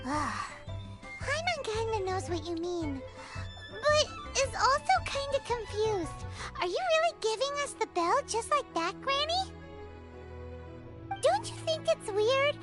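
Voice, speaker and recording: A tiny, childlike fairy chirps in an extremely high, squeaky voice. The delivery is animated and excitable, like a curious game sidekick. Bright background music plays clearly underneath. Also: female voice, slow pace, exaggerated voice. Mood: puzzled. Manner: speaks of self in third person, excitable. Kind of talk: video game dialogue acting.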